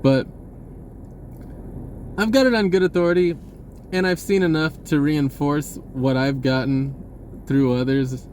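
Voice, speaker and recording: Man, casual rambling monologue, slightly high voice, very slow pace, frequent mid-sentence pauses, noticeable background noise. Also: fairly even intonation, darkish tone. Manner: halting, pausing mid-sentence. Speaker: vlogger.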